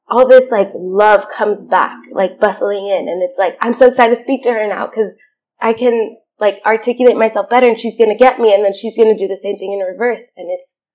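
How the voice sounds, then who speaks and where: A woman's voice: medium pitch, a little mellow, poor audio. A young woman from Syria, speaking as a podcast guest in an earnest, reflective way with many filler words. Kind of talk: casual interview chat.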